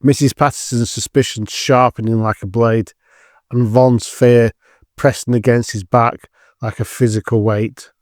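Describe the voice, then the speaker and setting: A man's voice: mid-range voice, steady tone, measured pace, darkish tone, dry recording, clean studio sound. A man giving scripted narration as the storyteller of an audio drama.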